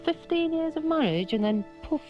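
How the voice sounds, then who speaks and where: A woman's voice: high voice, expressive, normal speed. An actress playing a wounded middle-aged mother in naturalistic film dialogue, pointed and dryly sarcastic. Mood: hurt, reproachful. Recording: dull sound, loud music behind. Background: instrumental music.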